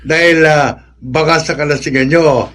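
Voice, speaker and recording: A man's voice: a little high, steady tone, normal speed, fairly bright tone, close to an everyday voice. A man playing a generous, jovial gang boss in a radio drama, with a Filipino accent. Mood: jovial, commanding.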